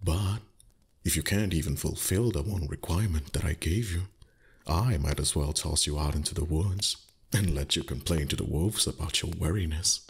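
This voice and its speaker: A man voicing a haughty, teasing male dragon in intimate ASMR roleplay, husky and breathy, condescending with flustered pauses. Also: rumbling low, full character voice. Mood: impatient, teasing.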